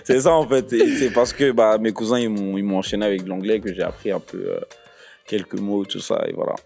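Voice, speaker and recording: A man's voice: fairly low pitch, brisk, gravelly. A young man from the Ivory Coast, a stand-up comedian chatting as a podcast guest, telling stories with slang and frequent laughter. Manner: laughs often, lively storytelling, street slang. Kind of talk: casual podcast chat.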